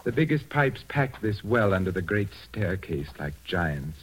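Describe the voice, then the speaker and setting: An actor playing a calm, sinister male organist who taunts his victim, in a 1940s radio drama. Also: deep voice, unhurried, breathy edge, exaggerated voice, rough recording.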